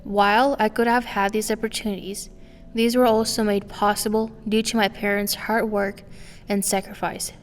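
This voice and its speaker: Gravelly-voiced young woman reading her story. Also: mid-range voice. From Romania. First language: Romanian. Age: 20s. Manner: calm, reflective, read aloud line by line. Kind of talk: scripted personal narration. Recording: long reverb with faint music behind.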